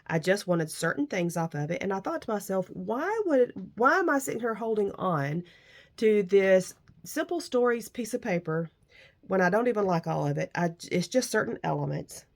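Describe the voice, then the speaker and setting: A woman hosting a crafting vlog, talking casually as she shows her handmade journal and layouts, her voice a bit nasal and rough from a cold. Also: mid-range voice, lively intonation, normal speed. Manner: warm, gushing about handmade crafts. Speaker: crafting vlogger. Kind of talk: casual show-and-tell crafting chat.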